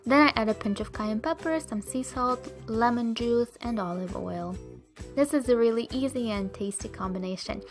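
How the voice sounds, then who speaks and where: A woman's voice: a little high, normal speed, music in the background. A young woman from Russia with a Russian accent, chatty and upbeat as she narrates a recipe vlog. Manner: upbeat, chatty, addresses viewers as "you guys". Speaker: cooking vlogger.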